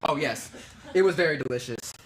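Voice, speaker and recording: A woman's voice: sits low, brisk, noisy background, natural voice. A woman playing a sheepish shopper in a live improv sketch, hesitant and full of fillers. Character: sheepish female shopper returning food.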